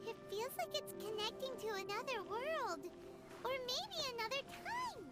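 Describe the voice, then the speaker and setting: A woman voicing a tiny, excitable, blunt floating companion in a video game, childlike and squeaky, easily astonished. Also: moderate pace. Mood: amazed, uneasy. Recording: loud game music over the voice.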